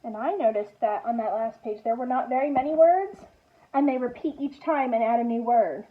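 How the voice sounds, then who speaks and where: A woman teacher doing an expressive picture-book read-aloud for young children, commenting and asking prompting questions in an animated way. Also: on the high side, a little fast, narrow, tinny audio. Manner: expressive, animated storytelling with gasps and exclamations.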